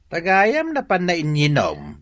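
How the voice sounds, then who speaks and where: Gossipy village man for Ilocano comedy drama male actor: highly animated pitch, drawn-out interjections, Filipino accent. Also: no room sound, heavily acted. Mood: complaining, gossipy.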